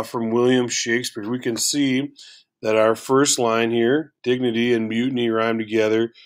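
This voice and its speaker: A man with a low voice teaching a recorded lesson through a shared screen, casual and full of filler words.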